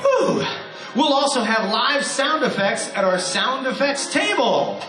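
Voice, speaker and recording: A bright, animated man with a high, lively voice emcees a live radio drama evening, hyping up the studio crowd. The sound is roomy and hall-like, with music playing behind. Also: unhurried. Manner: upbeat, crowd-rousing announcer. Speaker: emcee host. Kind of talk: live show hosting. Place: theater stage with live audience.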